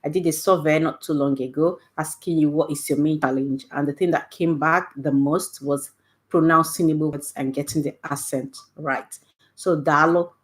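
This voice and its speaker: Nigerian woman, middle-aged, low voice, patient teacher tone, keeps checking in with "okay?". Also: a little mellow. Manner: friendly, patient, punctuates points with "okay?". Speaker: Igbo language teacher. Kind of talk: casual online language lesson.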